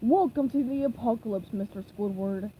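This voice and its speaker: A woman voicing a gruff, dramatic middle-aged male crab boss, loud and theatrical with old sea-dog talk. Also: a little high, moderate pace, slight background noise, natural voice, low-quality recording. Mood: grim.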